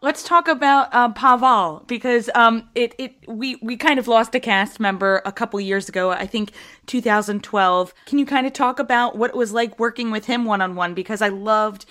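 A woman's voice: fairly high pitch, moderate pace, fairly bright tone. A woman moderating a casual panel, asking chatty, gushing questions.

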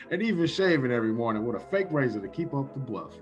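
A man's voice: mid-range voice, very animated, a little fast, natural voice. A man acts out a slangy, streetwise tough guy from an old radio drama. The recording is a bit dull, with music playing underneath. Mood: softened. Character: tough, suspicious streetwise man with a soft streak.

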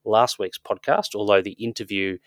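A man co-hosting a podcast, his voice a little croaky after illness, easygoing as he moves the talk along. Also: lowish voice, moderate pace, no echo, clean studio sound. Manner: easygoing, steers the show along. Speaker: podcast co-host. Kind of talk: casual podcast chat.

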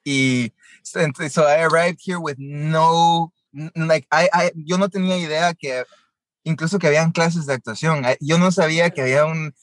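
A man's voice: a little high, fairly even intonation, moderate pace, no room sound. Young man, bilingual storyteller. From Guatemala. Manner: switches between Spanish and English mid-sentence, many fillers. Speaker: actor, interview guest. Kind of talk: casual interview storytelling.

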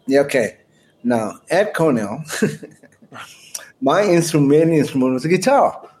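A man's voice: fairly high pitch, sing-song, measured pace, a little mellow, low-quality recording. A man, a guest musician rambling through anecdotes in a casual interview chat. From Malaysia. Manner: rambling, anecdotal storyteller with chuckles.

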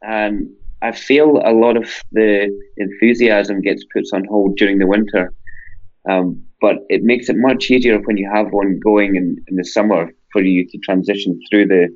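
A man's voice: on the low side, steady tone, normal speed, darkish tone, highs cut off. A Scottish man from Scotland, a guest on an interview podcast, talking enthusiastically and rambling through long lists. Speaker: parkrun Canada guest.

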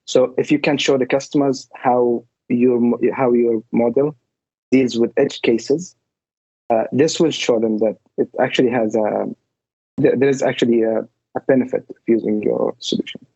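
A man's voice: mid-range voice, steady tone, moderate pace, warm tone, breathy edge, no echo, lo-fi sound. A man, a tech co-founder on a podcast, explaining matter-of-factly. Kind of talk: podcast interview answer.

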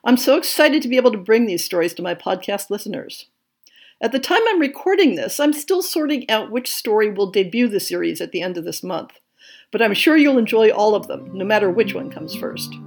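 A woman hosting a podcast, reading a scripted monthly update in a measured, enthusiastic way. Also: mid-range voice, big pitch swings, darkish tone. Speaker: podcast host.

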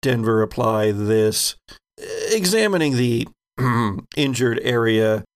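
A man narrating a tabletop game as game master, describing scenes in measured, searching phrases. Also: medium pitch, lively intonation, smooth.

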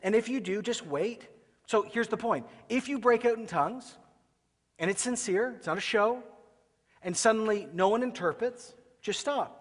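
A fairly high-voiced man preaching in a large auditorium, his words trailing into a long hall reverb. He grew up in Ecuador and talks to the congregation in a lively, conversational way, slipping into quick acted asides. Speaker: pastor. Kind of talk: sermon.

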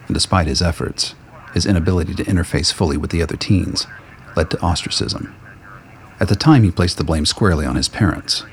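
Very deep gravelly male narrator. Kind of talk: scripted dramatic narration for a radio play. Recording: faint background noise.

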